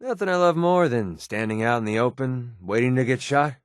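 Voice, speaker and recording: A man's voice: medium pitch, lively intonation, fairly quick, clean tone, no echo, studio quality, close to an everyday voice. A man with an American accent playing a sarcastic, wisecracking young mercenary companion in a video game. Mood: wry, sarcastic. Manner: sardonic, wisecracking, colloquial. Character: wry, sarcastic young male wasteland mercenary. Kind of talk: video game companion dialogue, naturalistic acting.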